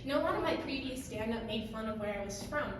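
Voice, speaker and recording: A young woman from Ukraine doing a stand-up comedy set on a club stage, with a wry, deadpan delivery and pauses for laughs. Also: a little high, noisy background. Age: young adult. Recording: echoey club from far off. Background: audience laughter.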